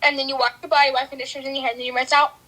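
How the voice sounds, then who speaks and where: A woman's voice: high voice, level tone, rapid-fire, pushed and bright. A woman of about twenty, chatting playfully with a stranger on a video call. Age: 20s. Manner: playful, bantering. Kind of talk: casual chat. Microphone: phone video call.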